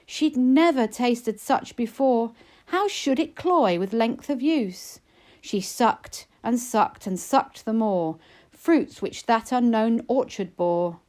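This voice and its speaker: Slow, measured woman reciting poetry. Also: a little high, darkish tone. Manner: measured, storytelling. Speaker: poetry reader. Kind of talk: expressive narrative poetry recitation.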